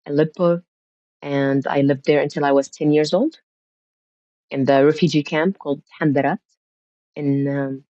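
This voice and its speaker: A woman's voice: low-pitched, steady tone, normal speed, long pauses, mellow, no echo. Woman telling her story, muffled lo-fi sound. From Syria. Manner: reflective storytelling, frequent restarts. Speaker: podcast guest. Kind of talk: conversational interview.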